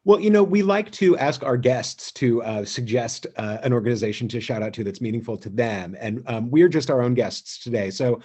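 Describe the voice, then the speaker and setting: A man co-hosting a theatre company's online show, making casual announcements in a halting, conversational way. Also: medium pitch, lively intonation, warm tone, breathy edge.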